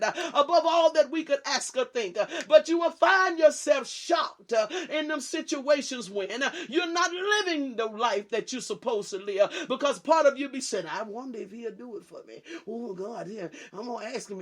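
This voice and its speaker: A man's voice: very high voice, fairly bright tone. A man a sermon, crying out, laughing and sobbing, with many "uh" fillers between phrases. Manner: emotional, cries out, breaks into laughs and sobs, filler "uh" between phrases. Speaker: preacher.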